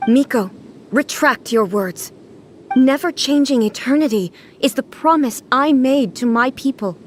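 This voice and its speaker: Lonely goddess ruler, fantasy game, highish voice, formal, measured, regal, faint background ambience. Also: female voice, animated, a little hiss or hum. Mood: stern. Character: solemn, lonely immortal female ruler goddess of eternity. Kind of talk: anime fantasy game voice acting.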